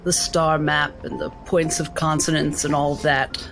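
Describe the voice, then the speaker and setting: A man's voice: fairly high pitch, level tone, measured pace, vocal fry, music in the background. A man playing a reserved, skeptical woman scholar, politely curious and hesitant.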